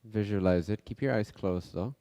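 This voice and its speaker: A man leading a guided meditation in a measured, halting way, speaking in short phrases with pauses between them. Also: on the low side, dark tone. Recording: long hall-like reverb.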